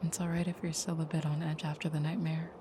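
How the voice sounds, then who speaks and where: A woman playing a tender, soft-spoken vampire girlfriend in an intimate audio roleplay, with a breathy near-whisper and gentle, slightly formal phrasing. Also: low voice, level tone, quick pace, background noise, natural voice. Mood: tender, soothing.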